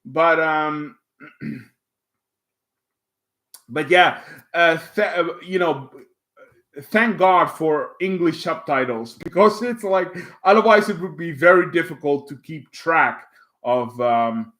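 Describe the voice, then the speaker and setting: A man's voice: fairly high pitch, slow pace, long pauses, fairly bright tone. A man with a Dutch accent, a native Dutch speaker, hosting a livestream and chatting casually with viewers, rambling with lots of fillers and restarts. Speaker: livestream host. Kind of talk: casual livestream chat, reading viewer comments.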